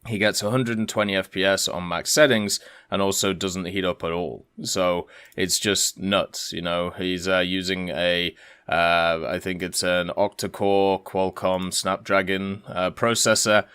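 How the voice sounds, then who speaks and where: A man's voice: sits low, flat delivery, measured pace, fairly bright tone, clear, resonant tone. A man giving casual, rambling commentary as the host of a gaming video.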